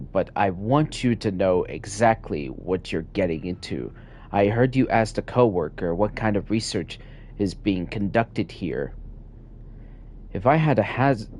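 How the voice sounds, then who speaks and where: Slow, low-voiced man streaming a game. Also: a little hiss or hum. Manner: relaxed, reads in-game documents aloud. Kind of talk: casual gameplay commentary.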